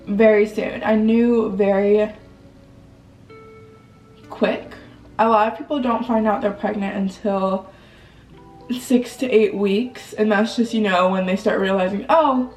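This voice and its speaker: A woman's voice: mid-range voice, darkish tone, echoey room, far from the mic, low-quality recording. A young woman vlogger with a stuffed-up nose, chatting candidly and casually with lots of filler words. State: congested. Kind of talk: casual vlog chat.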